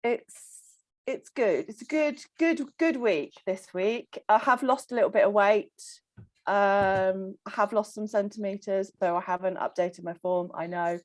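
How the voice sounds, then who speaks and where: A woman on a casual coaching call, croaky from illness, chatting with frequent ums and laughs. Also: medium pitch, a little slow, no echo. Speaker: coaching client.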